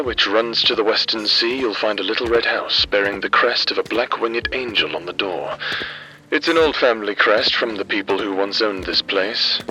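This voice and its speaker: A man playing a sardonic, world-weary private investigator in a fantasy noir audio drama, with a dry, formal, deadpan delivery. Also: on the low side, highs cut off. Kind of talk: noir audio drama acting with scripted monologue. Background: background music.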